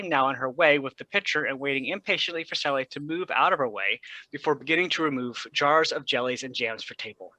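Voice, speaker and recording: Male stage directions reader: scripted narration, mid pitch, bright forward tone, dry close sound. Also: dry recording, low-quality recording.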